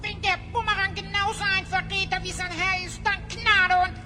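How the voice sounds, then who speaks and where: Tiny scrappy sidekick, extremely high voice, scolding, suspicious, music underneath, audio-drama acting. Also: female voice, flat delivery, normal speed. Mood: astonished. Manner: scolding, exclamatory. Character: feisty distrustful small magical companion of a hero. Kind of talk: fantasy radio play acting.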